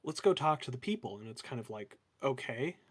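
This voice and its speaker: A man with a monotone voice, chatting casually about running tabletop games as a dungeon master. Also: mid-range voice, brisk, slightly rough. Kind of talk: casual chat.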